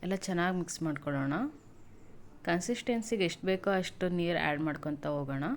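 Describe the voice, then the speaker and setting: Lady doing a cooking tutorial, lowish voice, plain step by step, bit of background noise. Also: lively intonation, fast talker. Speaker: home cook presenting a recipe. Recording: noisy home cooking video audio.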